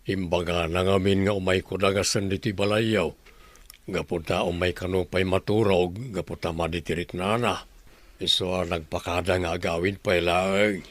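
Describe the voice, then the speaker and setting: A man's voice: low voice, little pitch movement, normal speed, dry recording, close to an everyday voice. A man playing an earnest, concerned young friend in a Filipino audio drama. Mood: explaining.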